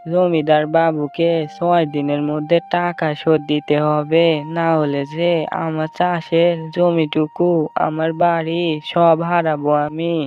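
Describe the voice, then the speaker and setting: A man's voice: a little high, flat delivery, a little slow, natural voice. A man voicing a poor, patient farmer and father in a cartoon, pleading and resigned in manner. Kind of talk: Bengali moral-story cartoon dubbing. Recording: muffled audio with background music.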